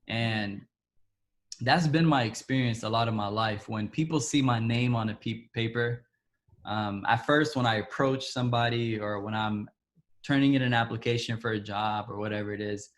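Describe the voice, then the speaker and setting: A man from Ethiopia, interviewed and telling a personal story in a halting way, with frequent restarts and soft, trailing asides. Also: medium pitch.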